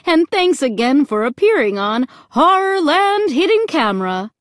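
A woman putting on a high, gushing monster hostess. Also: sing-song, a little slow, no echo, clean studio sound. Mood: instructing, cheery. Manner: bright, showy announcer patter. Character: cheery, gushing female monster game show MC.

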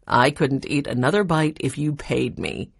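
A kindly old grandfather from a children's storybook, acted out by a woman. The voice sits low and moves in a measured, genial way. It sounds close and dry. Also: creaky, breathy edge, no room sound, heavily acted. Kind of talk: children's audiobook character voice.

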